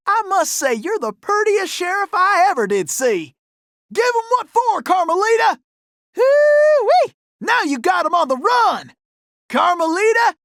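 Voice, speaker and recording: An actor playing a cocky, gallant young cowboy outlaw in a video game, with a folksy, exclamatory Old West Southern drawl. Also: male voice, very high voice, gravelly, a bit bright, no room sound, clean studio sound, full character voice. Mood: admiring, excited. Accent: American Old West Southern drawl. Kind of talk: animated video game character acting.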